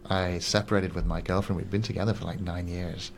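A Swiss-born man with a very deep, low voice talks things over thoughtfully on a radio show. He speaks softly and often repeats a word as he searches for the next thought. A faint hum of background ambience sits under his voice. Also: flat delivery, a little fast, creaky. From Switzerland. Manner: soft-spoken, thoughtful, repeats words as he gathers thoughts. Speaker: guest coach. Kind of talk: reflective radio interview.

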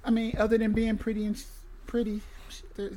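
A man's voice: high-pitched, monotone, fairly quick, mellow, slight background noise, poor audio. Blunt, profane podcast host man. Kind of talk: casual chat.

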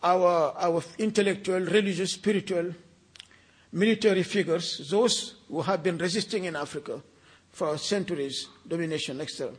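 An older man from Senegal, giving a deliberate, reflective talk and repeating words for emphasis. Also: high voice, slightly rough. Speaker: speaker.

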